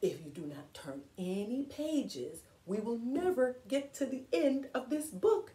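A woman's voice: a little high, sing-song, measured pace, warm tone. A woman voicing a frantic, fearful male puppet monster from a storybook, melodramatic and pleading. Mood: hushed pleading. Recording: echoey room, distant from microphone.

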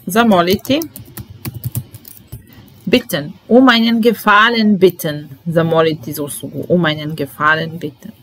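Young woman, German teacher, very slow and deliberate, lowish voice, laptop mic at a desk. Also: a little hiss or hum, low-quality recording. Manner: calm, deliberate, repeats each word. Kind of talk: slow vocabulary drill, Serbian to German. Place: small indoor room at a desk.

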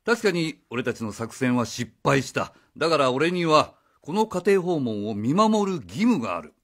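A man's voice: a little high, sing-song, normal speed, a bit bright, heavily acted. An actor playing a brash, good-hearted meddling man in a radio drama, casual, pushy and given to theatrical lecturing. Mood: pompous. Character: brash, meddling, good-hearted middle-class stranger uncle. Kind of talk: Japanese radio drama acting.

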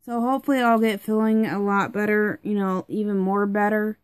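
A young woman with a stuffed-up, congested voice talks through a product review at home, rambling and chatty with plenty of fillers. Her voice sits at a medium pitch, and the sound is dry with no room echo. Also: steady tone, brisk.

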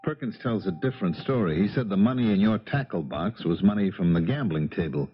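An actor playing a steady, probing police investigator in a 1970s radio drama. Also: male voice, deep voice, a little fast, slightly rough. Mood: probing, grave. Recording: dull vintage radio recording.

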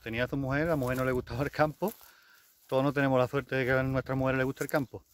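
Rambling Spaniard on a country walk. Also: male voice, mid-range voice, level tone, fast, a little hiss or hum. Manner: chatty, rambling, self-correcting, mild swearing. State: walking downhill over stones. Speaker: outdoor vlogger. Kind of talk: casual walking vlog. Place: outdoors in rural fields. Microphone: handheld camera on selfie stick.

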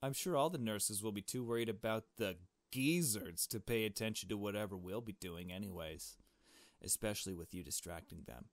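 Man reading as a confident, scheming rich girl, low-voiced. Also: fairly quick, dry recording, close to an everyday voice. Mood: assured.

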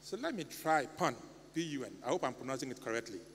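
A man's voice: medium pitch, quick pace. A middle-aged man from Ghana with a Ghanaian English accent, preaching a sermon from the pulpit in an earnest, pleading manner. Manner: earnest, pleading, animated hand gestures. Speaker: lay preacher. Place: church sanctuary. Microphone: stand mic at pulpit. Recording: long church reverb, faint hum.

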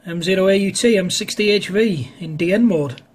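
A man with a high, rather husky voice. He talks as a radio ham in casual contact, chatty and wandering, often hesitating mid-thought. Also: brisk. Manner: chatty, rambling, hesitant delivery. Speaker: amateur radio operator. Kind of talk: casual two-way radio chat. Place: indoors at a home radio station.

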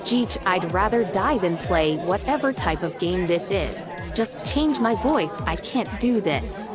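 A woman's voice: mid-range voice, animated, a little slow, music in the background, crowd noise, natural voice. A woman playing an outraged young player with a country girl accent in a scripted gamer drama.

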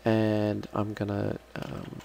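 A man giving an unscripted, halting step-by-step software walkthrough as a trainer. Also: fairly low pitch, completely flat delivery, gravelly, slight background noise. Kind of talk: screen-recorded software walkthrough.

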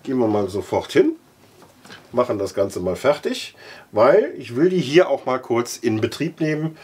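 A middle-aged man with a husky voice, giving a casual, halting product demonstration as he handles the parts. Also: mid-range voice, very animated, darkish tone. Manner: halting, thinking aloud while handling parts. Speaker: aquarium product reviewer. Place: indoor room with large aquarium.

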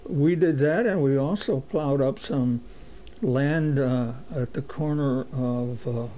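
A man of eighty-seven recalling his youth in a halting way, with many fillers and a dry humor. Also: mid-range voice, mellow, slight background noise. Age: 80s. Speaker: interviewee. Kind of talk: oral history recollection.

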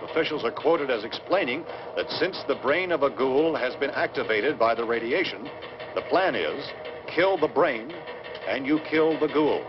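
Actor as grave American newscaster, dull old soundtrack. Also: male voice, medium pitch, expressive, moderate pace, natural voice. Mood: grave, formal. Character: grave male TV newscaster reporting a ghoul crisis. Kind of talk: formal scripted 1960s news reading. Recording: dull old film soundtrack, music behind.

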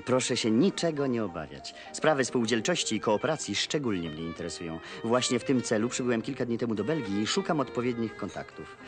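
An actor playing a courteous, eager young Polish gentleman in a period television drama, speaking politely and with animation. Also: medium pitch, animated, a little fast, few pauses, music in the background, close to an everyday voice. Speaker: landowner's son.

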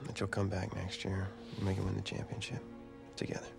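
Weary, gravelly young American athlete. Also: male voice, low voice, monotone, a little fast. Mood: weary, sincere. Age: young adult. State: weak and tired, recovering. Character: weary young football player recovering from illness, wry. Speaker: actor in a sports video game. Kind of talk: naturalistic cutscene acting. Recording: music behind the voice.

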